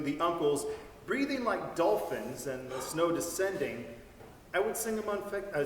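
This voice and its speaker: Expressive man reading in a big hall. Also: fairly high pitch, slight background noise. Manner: expressive, savoring storytelling. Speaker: reader. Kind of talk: dramatic reading of a story. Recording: echoey hall from far off.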